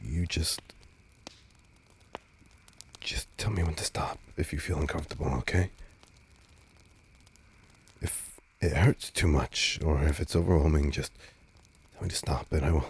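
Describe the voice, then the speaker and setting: Casting: male actor for a nervous, soft-spoken vampire lover in audio roleplay; very low register, slow, halting delivery. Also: steady tone, breathy edge, heavily acted. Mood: hesitant, caring. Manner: hesitant, stammering, soft-spoken. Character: nervous, tender male vampire on a stargazing date, resisting hunger. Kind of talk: intimate audio roleplay addressed to the listener.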